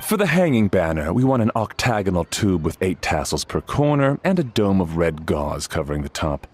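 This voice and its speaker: An actor playing a polite, fastidious manservant who speaks formally and with measured care in a game cutscene. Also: male voice, deep voice, very animated, darkish tone, faint music behind. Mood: exacting, businesslike. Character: courteous, fastidious male servant arranging his master's funeral. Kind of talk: animated game cutscene acting.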